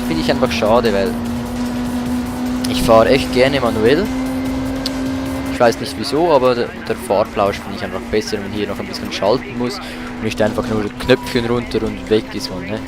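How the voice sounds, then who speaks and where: A man giving casual, colloquial gameplay commentary with exclamations as he plays. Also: on the low side. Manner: colloquial, mildly swearing, exclaims while playing. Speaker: let's play host. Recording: loud music and car noise behind. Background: game music and car engines.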